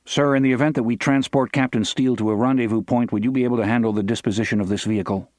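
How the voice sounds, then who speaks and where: A man's voice: mid-range voice, steady tone, very fast, darkish tone, no echo, close to an everyday voice. A man voicing a formal, polite guard who talks in stilted official phrases, in an audiobook. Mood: brisk, official.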